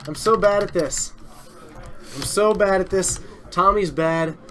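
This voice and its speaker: Excitable male stream host, fraying voice. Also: high-pitched, a little slow. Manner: chatty, excitable, self-deprecating. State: losing his voice. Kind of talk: live card game stream commentary.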